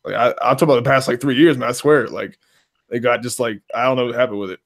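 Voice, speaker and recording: A hoarse man hosting a casual podcast, rambling in loose slang and laughing between lines. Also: mid-range voice, fairly even intonation, fast.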